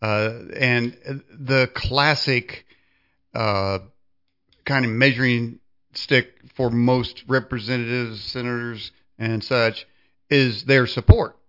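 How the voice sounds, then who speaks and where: Halting male host, dull audio. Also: medium pitch, steady tone. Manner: halting, searching for words. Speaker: interview host. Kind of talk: conversational interviewing with long questions.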